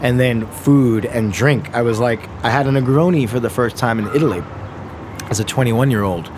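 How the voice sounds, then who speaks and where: A man's voice: mid-range voice, moderate pace. Rambling, laughing man musician, noisy recording. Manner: rambling, animated storyteller who laughs often. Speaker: musician and podcast guest. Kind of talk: casual conversation.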